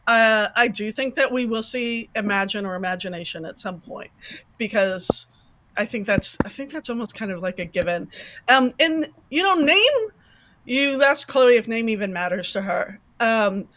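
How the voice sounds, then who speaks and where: A woman panelist in casual podcast talk, animated and thinking aloud in long runs. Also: fairly high pitch, moderate pace, slight background noise.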